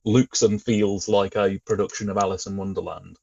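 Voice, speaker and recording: A man co-hosting a podcast, casually discussing and explaining his opinions in a measured, careful way. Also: on the low side, level tone, creaky, darkish tone, dry recording, highs cut off. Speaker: podcast co-host.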